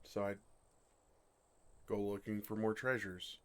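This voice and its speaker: A man playing a bashful, lovestruck male dragon in human form for an ASMR fantasy roleplay, speaking in a halting, earnest way. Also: lowish voice, flat delivery, a bit bright, clear, resonant tone. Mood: tender, wistful. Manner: flustered, halting, earnest delivery.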